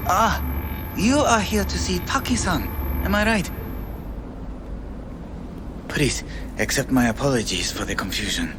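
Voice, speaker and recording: A man's voice: on the high side, unhurried, pauses often, close to an everyday voice. Actor as deferential hotel man, noisy surroundings. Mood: apologetic. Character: apologetic, deferential male hotel staffer. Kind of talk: video game voice acting. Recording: clear ambient noise behind.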